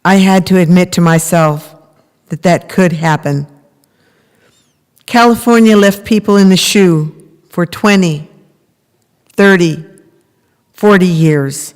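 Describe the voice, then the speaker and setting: A woman's voice: fairly low pitch, takes it slowly, pauses often, darkish tone. A middle-aged woman playing a prisoner's earnest mother, reading a monologue from a script in readers theater. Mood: earnest, sorrowful. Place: large temple hall, at a lectern. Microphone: microphone on a stand at lectern. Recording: reverberant hall recording.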